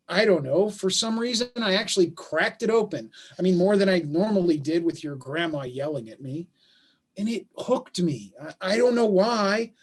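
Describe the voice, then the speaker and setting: Man acting high-voiced, halting, earnest dad. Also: husky. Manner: halting, earnest, self-deprecating. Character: baffled, conciliatory working-class father of a gifted son. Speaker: stage actor in a play reading. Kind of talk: staged play reading, naturalistic dialogue. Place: remote video call.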